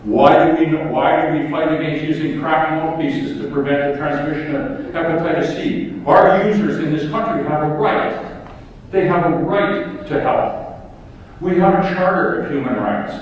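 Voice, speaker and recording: A man's voice: fairly high pitch, moderate pace. An older man, a public health official giving an impassioned conference speech full of rhetorical questions. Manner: emphatic, rallying, rhetorical questions. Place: large lecture hall. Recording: echoey hall, distant mic, dull sound.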